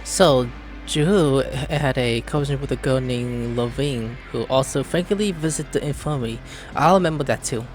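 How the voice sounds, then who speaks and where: A man's voice: mid-range voice, normal speed, soft background music. A man doing casual let's play commentary, reading game dialogue choices aloud and muttering asides. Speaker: gameplay streamer.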